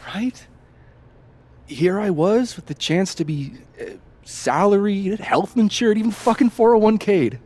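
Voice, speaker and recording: Cheeky, slow-drawling young guy, animated delivery. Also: sits high. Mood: tipsy self-deprecation. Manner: teasing, drawling, playful. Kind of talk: naturalistic video game dialogue acting.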